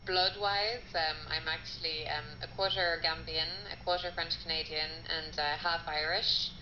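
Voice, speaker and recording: A woman in her late twenties answering interview questions thoughtfully, pausing with fillers. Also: deep voice, fairly even intonation, sharp tone. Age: 20s. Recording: muffled lo-fi, light noise.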